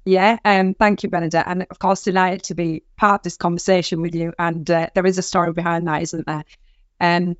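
A woman with a slightly low voice, speaking as a podcast guest in a composed, measured way with an occasional "um". The sound is dry and a little muffled, like a remote call. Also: no echo. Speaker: guest, health service executive. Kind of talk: podcast interview conversation.

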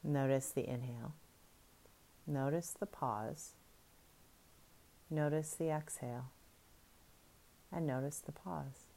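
Slow, deep-voiced woman guiding meditation. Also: low-quality recording. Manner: soft, slow, soothing instructions. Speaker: meditation guide. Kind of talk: guided breathing meditation.

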